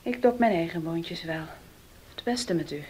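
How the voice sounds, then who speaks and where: A woman's voice: on the low side, normal speed, dark tone, husky, low-quality recording. An actress playing Gonda, a distressed young servant woman, in a 1970s radio play. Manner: pleading, tearful.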